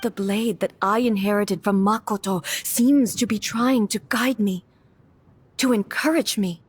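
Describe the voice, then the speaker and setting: An actress voicing a stern, composed female warrior ruler in a video game cutscene, speaking in a measured, formal, solemn way. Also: mid-range voice, a little hiss or hum, close to an everyday voice. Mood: reflective. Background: faint game music.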